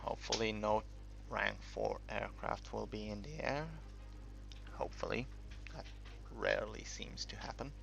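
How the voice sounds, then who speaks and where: A man giving casual, unscripted game commentary in a halting, rambling way, as if thinking aloud while he explains things. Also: fairly low pitch, vocal fry. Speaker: gaming video creator narrating a tutorial. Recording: dull lo-fi home recording, music behind.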